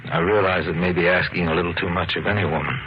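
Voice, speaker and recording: Actor as a deep, brooding husband, old radio. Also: male voice, steady tone, fast, dull audio, close to an everyday voice. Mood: pleading. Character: brooding, bitter husband suspected of murder, ominously calm. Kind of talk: 1950s radio mystery acting. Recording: noisy, band-limited old radio recording.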